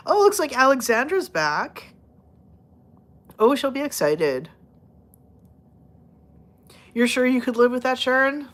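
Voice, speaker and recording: A woman's voice: sits high, very animated, takes it slowly, long pauses. A woman hosting a casual live stream, chatting with viewers and cooing at her cats, laughing often and rambling with fillers as her voice starts to go. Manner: giggly, playful baby-talk to her cats. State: losing her voice. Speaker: livestream host talking to viewers and her cats.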